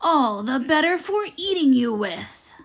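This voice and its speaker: A woman's voice: sits high, sing-song, unhurried, voice pushed up. A woman voicing a sly storybook wolf who pretends to be a frail old grandmother in a wobbly, quavering voice. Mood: falsely sweet.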